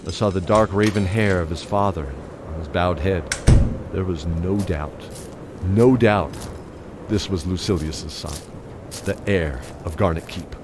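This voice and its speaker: An actor playing a weary, guilt-ridden knight captain, narrating and speaking in a scripted fantasy audio drama. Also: male voice, low-pitched, unhurried, background noise. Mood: solemn, reflective. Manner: solemn, descriptive, dramatic delivery.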